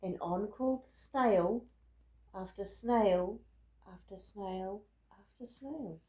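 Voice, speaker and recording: Slow, soft-toned woman reading a storybook. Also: mid-range voice, roomy sound. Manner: dramatic, deliberate storytelling with emphatic words. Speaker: storybook reader. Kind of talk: expressive picture-book read-aloud in rhyme.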